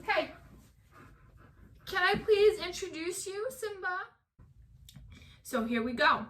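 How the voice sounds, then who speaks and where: Bright, high-voiced animated woman author. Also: takes it slowly. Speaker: author reading own poem. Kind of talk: casual chat and poem reading to camera.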